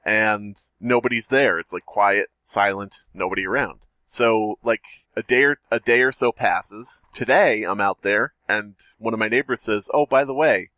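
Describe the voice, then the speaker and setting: A man co-hosting a casual podcast, telling stories in an animated, joking, chatty way. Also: on the low side, normal speed, few pauses, no room sound. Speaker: podcast co-host. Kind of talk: casual chat, storytelling. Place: home.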